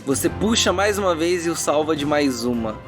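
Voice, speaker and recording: A man's voice: fairly high pitch, lively intonation, normal speed. A man working as game master, narrating a tabletop fantasy adventure in a dramatic storytelling style. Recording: background music under the voice.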